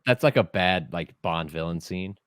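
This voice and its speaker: A man co-hosting a casual podcast, animated and joking, laughing often. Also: deep voice, normal speed, no echo, studio quality. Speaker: podcast co-host. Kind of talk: casual chat.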